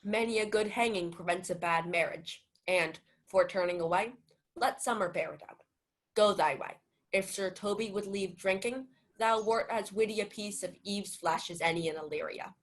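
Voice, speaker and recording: A witty, impudent jester from a radio Shakespeare play, played by a young woman. The voice sits at a middle pitch, wry and theatrical. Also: normal speed, close to an everyday voice. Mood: wry impudence. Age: young adult. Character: Feste, witty impudent male household clown. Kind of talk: 1940s radio Shakespeare acting.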